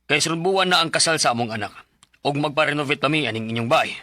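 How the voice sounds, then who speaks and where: Assertive, sharp-voiced middle-aged landlord. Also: male voice, on the high side, fast, dry recording. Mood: firm, businesslike. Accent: Cebuano Filipino. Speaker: radio drama actor. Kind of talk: radio drama acting.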